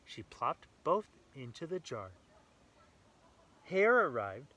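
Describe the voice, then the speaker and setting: Animated man reading a children's storybook. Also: mid-range voice, takes it slowly. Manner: animated, enthusiastic. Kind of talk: expressive storybook read-aloud with casual asides to children.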